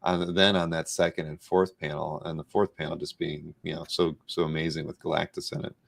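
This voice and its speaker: Low, gravelly-edged man hosting casually. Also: flat delivery, fairly quick. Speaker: show host, interviewer. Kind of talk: casual chat, appreciative commentary.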